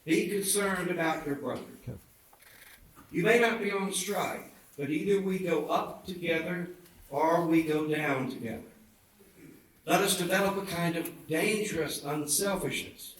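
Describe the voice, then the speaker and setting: A man's voice: a little high, measured pace. A man reading a historic speech aloud at a public event with a preacherly, oratorical delivery. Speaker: reader at a commemorative event. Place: indoor theatre event. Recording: very echoey hall, distant mic, faint noise.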